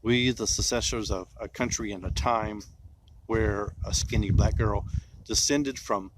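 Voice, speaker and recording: A man reciting poetry in a solemn style, with deliberate phrasing and dramatic pauses. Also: on the low side, fairly even intonation, slight background noise, low-quality recording.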